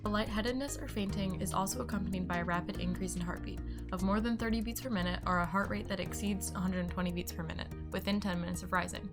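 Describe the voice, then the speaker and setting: Fast-reading woman, informative. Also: mid-range voice, fairly even intonation, over music. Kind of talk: reading a definition.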